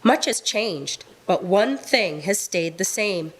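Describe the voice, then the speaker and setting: Mid-pitched American woman narrator. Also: lively intonation, slow pace, husky, poor audio. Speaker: narrator and announcer. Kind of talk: scripted radio drama narration.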